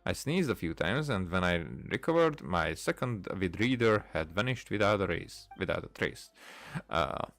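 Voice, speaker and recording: A man's voice: sits low, animated, normal speed, vocal fry, fairly bright tone, dry recording. A man hosting a casual game playthrough, commentating and reading dialogue aloud in a hesitant, stumbling way. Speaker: gaming video host.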